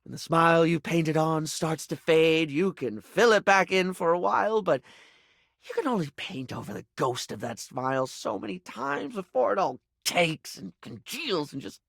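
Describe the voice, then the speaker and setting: Flamboyant young male game character, insecure, creaky breathy voice, theatrical, stammering, self-deprecating, very dry studio sound. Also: on the high side, lively intonation, moderate pace, a bit bright, no room sound, full character voice. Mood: bleak, poetic. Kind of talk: video game character voice acting.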